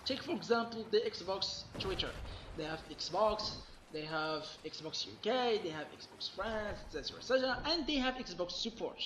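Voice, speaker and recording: A man giving an emphatic, complaining gaming commentary while losing his voice, sounding hoarse. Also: high voice, animated, normal speed, echoey room, noisy background. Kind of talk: solo gaming rant.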